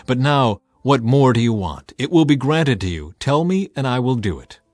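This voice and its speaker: A man's voice: medium pitch, sing-song, normal speed, a little mellow, no room sound, close to an everyday voice. A man voicing a commanding ancient king in a dramatized scripture audiobook. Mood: commanding, insistent. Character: commanding ancient king granting his queen's wish.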